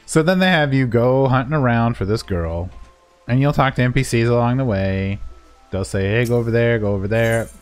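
A man's voice: mid-range voice, smooth. A man giving a casual, unscripted game walkthrough in a playful, self-deprecating way. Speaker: gaming video creator and guide narrator.

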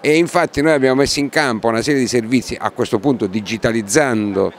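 A man's voice: mid-range voice, quick pace. A man, a local official, answering in an interview.

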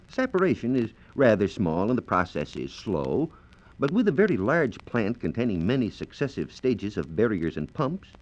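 A man with an American accent presenting a formal, carefully phrased scripted science lecture in 1940s radio style. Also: fairly low pitch. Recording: dull lo-fi 1940s radio transcription disc.

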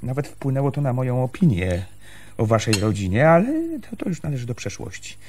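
An actor playing an earnest, suspicious newcomer to a village in a radio drama, hesitant and stammering, with a husky voice. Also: male voice, mid-range voice, very animated, a little fast, a little mellow, a little hiss or hum, natural voice. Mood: agitated, uneasy. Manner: hesitant, stammering, breaking off and restarting sentences.

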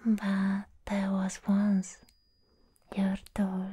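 A young woman performing a hushed, whispered ASMR roleplay as a sweetly possessive living doll, pausing deliberately, close to the microphone. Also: medium pitch, monotone. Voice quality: breathy whisper. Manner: slow, hushed, deliberate, with long pauses.

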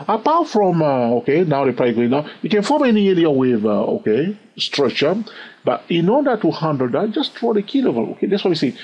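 Husky, lively man teaching chart trading. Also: on the high side. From lives in London. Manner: animated, asks rhetorical questions, chuckles. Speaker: trading educator. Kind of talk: screen-recorded chart lesson. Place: at a computer, voice over screen. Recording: dull lo-fi sound.

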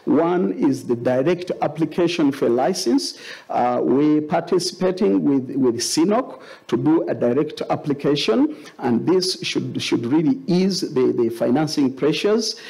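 A man's voice: fairly high pitch, lively intonation, measured pace, gravelly, few pauses, mellow, breathy edge, poor audio. A man in his fifties with a Ugandan accent, giving explanatory panel answers in a hesitant way, repeating words. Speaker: company board chairman on a panel. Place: conference stage, indoors. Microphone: gooseneck table microphone.

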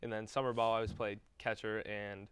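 Young college ballplayer, low smooth voice, dry close mic, short modest answers. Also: male voice, flat delivery, brisk, fairly bright tone, dry recording. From Pennsylvania. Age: young adult. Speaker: college baseball player. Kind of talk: interview answers.

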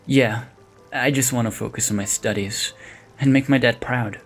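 A man's voice: medium pitch, steady tone, moderate pace, faint music behind, natural voice. A young man playing an earnest, hesitant, grieving college student in an audio drama. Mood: relieved. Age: young adult.

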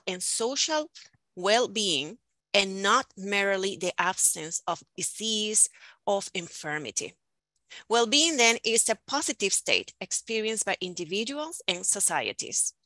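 A middle-aged woman hosting a panel with a prepared, formal presentation in a measured, deliberate manner, speaking into a headset. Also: medium pitch, pushed and bright, no room sound, poor audio. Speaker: panel host and moderator. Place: indoors on a video call, virtual background.

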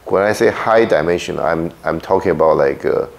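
A middle-aged man with a Taiwanese accent, whose first language is Mandarin, lecturing a university class through a handheld microphone in a lecture hall. His voice is slightly hoarse after illness, and he talks haltingly, with many fillers and "okay?" checks. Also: deep voice. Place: large lecture hall with projector.

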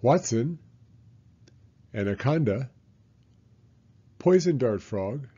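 An older man reading a children's picture book aloud deliberately, with pauses between words. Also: medium pitch, lively intonation, dark tone, breathy edge, lo-fi sound. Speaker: storyteller.

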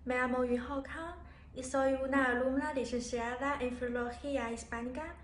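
A woman's voice: high-pitched, fairly even intonation, a little hiss or hum. Formal young Chinese woman, echoey room. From China. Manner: careful, formal and measured. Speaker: master's applicant. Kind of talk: prepared application statement to camera. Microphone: phone or camera in front, head and shoulders framing.

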